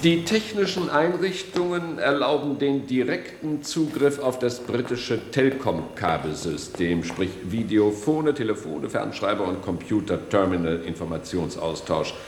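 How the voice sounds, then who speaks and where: An actor playing a middle-aged male security chief in a German radio play, authoritative and courteous but guarded, in formal, deliberate officialese. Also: medium pitch, expressive, a little hiss or hum. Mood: smooth, guarded.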